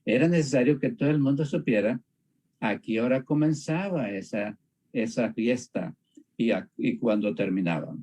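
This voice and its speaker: A man from Panama, explaining reflectively in conversation, haltingly and repeating phrases for emphasis. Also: medium pitch, lively intonation, darkish tone. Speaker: discussion partner on a religious show.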